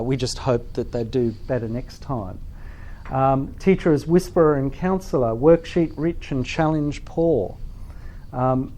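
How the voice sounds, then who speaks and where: A man's voice: mid-range voice, mellow. A middle-aged man presenting a workshop at a teachers' forum, speaking thoughtfully with frequent ums and restarts. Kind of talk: conference workshop talk. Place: lecture room at a teachers' forum.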